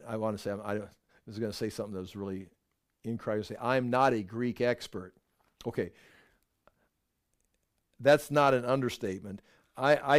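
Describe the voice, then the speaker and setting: A man's voice: mid-range voice, a little mellow. Middle-aged man, Bible teacher, mid-range voice, chatty lecture with wry asides and restarts. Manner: conversational, digressive, with wry asides and self-corrections. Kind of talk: casual Bible class lecture.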